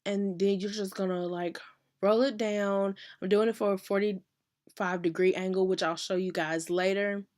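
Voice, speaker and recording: A woman, hoarse and losing her voice from illness, chatting casually through a hair tutorial and often correcting herself. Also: mid-range voice, fairly even intonation, moderate pace, darkish tone. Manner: chatty, uses "y'all", self-correcting. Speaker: hairstylist teaching a tutorial.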